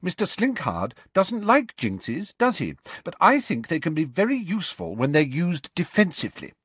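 A man's voice: a little high, wildly swooping pitch, normal speed, a bit bright, slightly rough, no echo, pitched above the performer's own voice, exaggerated voice. A man voicing an earnest, assertive, bookish teenage schoolgirl who speaks promptly and carryingly in a storybook. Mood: defiant.

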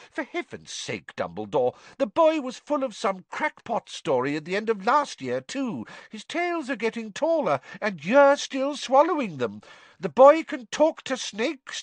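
A man voicing a blustering, pompous middle-aged male politician who bursts out in shrill indignation, in a storybook reading. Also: a bit bright, no echo, exaggerated voice.

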